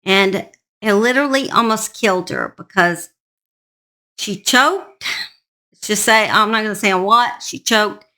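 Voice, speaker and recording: A woman's voice: mid-range voice, a little slow, pauses often, pushed and bright. A woman host narrating a true crime story in an informal, plain-spoken, conversational way, speaking straight to her listeners.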